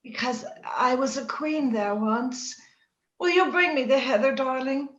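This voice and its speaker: Actress as fevered young lady, dreamy romantic radio acting, very high voice, echoey dull recording. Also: measured pace. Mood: wistful, weak. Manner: dreamy, yearning, theatrical. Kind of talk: old-time radio drama acting. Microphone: recorded remotely over video call. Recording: echoey, dull video-call sound, music underneath.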